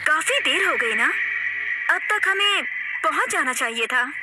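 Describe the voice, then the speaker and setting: Woman acting a high-voiced anxious young mother. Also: animated, a little slow, very noisy. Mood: uneasy. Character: worried young mother and wife, anxious, caring. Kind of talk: Hindi cartoon voice acting.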